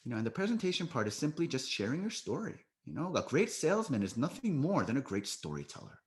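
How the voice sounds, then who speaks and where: Lively American man coaching on a call. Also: mid-range voice, fast. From United States. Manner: coaching, often tags "right?". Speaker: sales trainer. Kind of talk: training talk on a video call. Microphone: video call.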